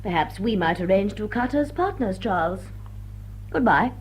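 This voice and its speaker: A woman's voice: mid-range voice, animated, slight background noise, close to an everyday voice. An actress playing a blunt, haughty but kindly society woman in a vintage drawing-room drama. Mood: brisk, indulgent. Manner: brisk, haughty. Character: imperious, blunt society lady with soft heart.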